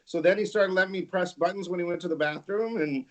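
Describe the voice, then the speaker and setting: A middle-aged man from California, a longtime radio DJ, tells his story in an easygoing, rambling way. His voice sits a little higher than most men's, and he chuckles as he goes. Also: quick pace, a bit bright, low-quality recording. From Santa Barbara, California. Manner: laid-back, laughing, rambling anecdotes. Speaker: radio DJ guest. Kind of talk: casual interview storytelling.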